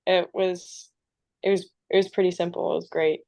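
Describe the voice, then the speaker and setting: A woman guest answering questions in a casual chat, relaxed and using fillers. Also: on the low side, normal speed, vocal fry, no echo.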